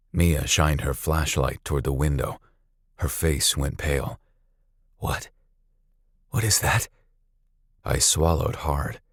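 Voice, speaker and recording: A man narrating a scripted story dramatically, with a suspenseful, deliberate delivery. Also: rumbling low, dry recording, studio quality.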